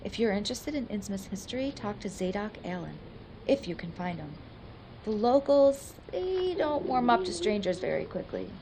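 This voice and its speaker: A woman's voice: medium pitch, big pitch swings, normal speed, noisy background. A woman acting as a skeptical, prim university academic in a radio play, with a firm, articulate, lecturing manner. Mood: polite.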